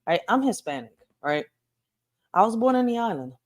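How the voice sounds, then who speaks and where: A woman's voice: medium pitch, big pitch swings, normal speed. A woman from Puerto Rico with a Philadelphia accent, hosting casual commentary and rambling with a frequent "right?". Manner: rambling, says "right?" often. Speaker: host.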